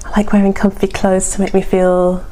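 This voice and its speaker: A woman's voice: mid-range voice, completely flat delivery, brisk, warm tone, poor audio. A woman with a London accent chatting casually as a podcast co-host, with noise around her. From London, England. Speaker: podcast co-host being interviewed. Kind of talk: casual chat.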